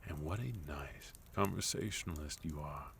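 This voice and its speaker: A man playing a sophisticated, courteous villain leader in a soft-spoken, gently teasing ASMR roleplay. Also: rumbling low, normal speed, vocal fry, a little hiss or hum, heavily acted. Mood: gentle, caring. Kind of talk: ASMR roleplay, intimate scripted monologue to listener.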